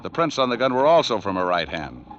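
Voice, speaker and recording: A man's voice: lowish voice, very animated, quick pace, fairly bright tone, a little hiss or hum. An American man playing a cool, wisecracking private detective who narrates his case in a dry, sardonic 1940s radio style. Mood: sardonic, accusing. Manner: hard-boiled, dry, sardonic. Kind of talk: 1940s radio acting, first-person noir narration. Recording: muffled old radio recording, music behind.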